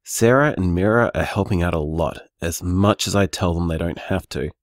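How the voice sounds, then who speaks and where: An actor playing an easygoing, affectionate man who runs a bar, talking conversationally in an audio drama, warm and teasing. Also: low-pitched, normal speed, no echo, clean studio sound, close to an everyday voice. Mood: fond, teasing. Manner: warm, teasing, rambling reassurance. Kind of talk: audio drama voicemail acting, naturalistic and conversational.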